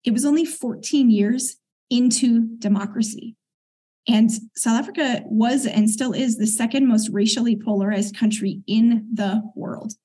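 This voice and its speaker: Husky-voiced woman telling her story. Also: fairly high pitch, unhurried, warm tone. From United States. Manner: earnest, reflective, clears throat often. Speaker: guest. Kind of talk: conversational interview storytelling.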